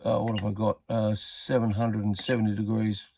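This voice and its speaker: Deep-voiced Australian man, halting and laconic. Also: flat delivery, creaky, a little mellow, a little hiss or hum. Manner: halting, laconic, matter-of-fact. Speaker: radio repair hobbyist presenter. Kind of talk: casual hands-on tutorial commentary.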